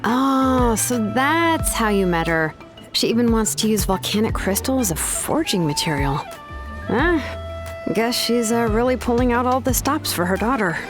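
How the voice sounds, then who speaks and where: A woman's voice: fairly high pitch, animated, normal speed, music in the background, natural voice. An actress voicing a laid-back, practical young woman in an anime video game, explaining things casually with small hesitations. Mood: easygoing, curious.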